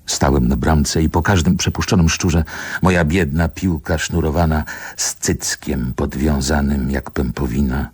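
An actor playing a wistful older man who narrates his childhood in a solemn, poetic radio drama monologue, with a gravelly, husky voice. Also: very deep voice, fairly even intonation, a little mellow, dry recording, full character voice. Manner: deliberate, solemn, poetic delivery.

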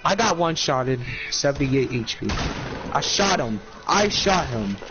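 A man's voice: mid-range voice, unhurried, muffled recording, over music. A teenage boy playing a shooter with a friend, bickering and calling out plays in casual banter. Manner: bickering, excitable. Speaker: fellow player.

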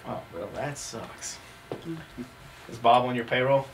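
A man's voice: medium pitch, measured pace. A man in his thirties hosting an indoor game with casual, competitive, joking banter in a warehouse office. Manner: animated, excitable, joking. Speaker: host and player in an office golf challenge. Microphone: far camera across the room. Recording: distant camera mic, loud noise, clicks.

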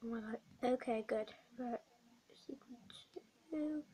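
A young girl with a high, croaky voice from a bad cold, speaking slowly and rambling as she chats through a craft tutorial. She records in a small, dim bedroom on a webcam mic, with faint noise in the background. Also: dark tone. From not American. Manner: chatty, rambling with many ums and uhs. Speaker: craft vlogger. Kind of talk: casual craft tutorial vlog.

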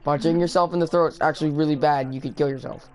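A woman's voice: deep voice, fairly even intonation, quick pace, a little mellow. A young woman streaming a zombie game, chatting with friends in a voice party and giving them orders. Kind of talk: casual gaming party chat. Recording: clear background noise with clicks.

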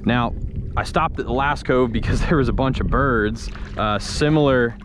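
A man's voice: mid-range voice, moderate pace. A young adult man giving casual commentary as a fishing vlogger, talking into an action camera outdoors. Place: outdoors, on open shallow bay water. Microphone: action camera worn or held close. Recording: outdoor camera with loud wind and water noise.

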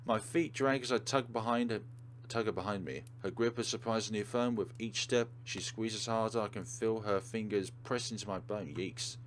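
A man's voice: lowish voice, moderate pace, slight background noise, poor audio. A man hosting a let's play, reading game narration aloud and adding casual commentary.

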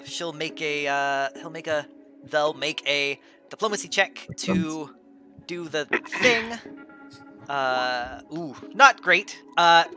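Bright, higher-voiced man running a tabletop game. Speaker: game master. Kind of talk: improvised narration of a tabletop game. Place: small room at home, on a video call. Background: background music.

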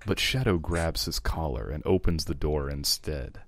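A man's voice: very deep voice, normal speed, fairly bright tone, no echo. A man narrating fan fiction, reading out the stage directions.

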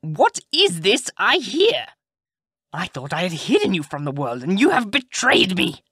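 A narrator voicing a wicked old witch in a storybook reading, drawing out taunting words slowly. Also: mid-range voice, sing-song, no room sound, clean studio sound, voice pushed up. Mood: furious. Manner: slow, drawn-out taunting shrieks.

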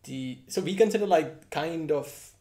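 A man's voice: on the high side, measured pace, warm tone. A man from India, hosting a casual podcast and asking curious, rambling questions. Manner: curious, asks long questions with restarts. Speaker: podcast host. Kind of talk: casual conversational interview.

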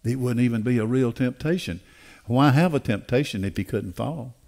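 An older man preaching a sermon in an emphatic, deliberate way, repeating phrases for weight. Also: mid-range voice, vocal fry, darkish tone. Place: church auditorium stage. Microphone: headset.

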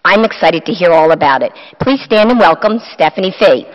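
A middle-aged woman, a field hockey coach, giving a measured prepared introduction at a podium microphone in a school chapel. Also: fairly low pitch, expressive. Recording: dull audio in a reverberant chapel.

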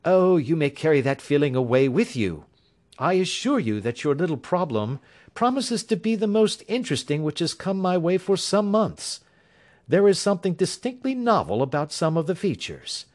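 A man's voice: fairly high pitch, big pitch swings, normal speed, darkish tone, voice pushed up. A man reading aloud, voicing a keen, grave and impatient male detective in a storybook reading. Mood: reassuring. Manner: crisp, grave, impatient outbursts. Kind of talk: audiobook character reading.

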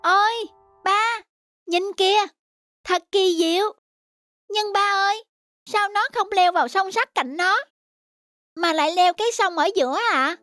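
A woman voicing a gentle, self-pitying young schoolboy with a childlike, plaintive manner in a children's cartoon. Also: very high voice, a little slow, long pauses, no echo. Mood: amazed. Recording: music behind the voice.

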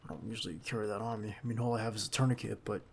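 A man playing a protective, reassuring bodyguard in an intimate ASMR roleplay, speaking in a hushed, halting, gravelly voice close to the listener. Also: fairly low pitch, flat delivery, slight background noise. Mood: uneasy, conversational. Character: protective young male bodyguard, calm and reassuring.

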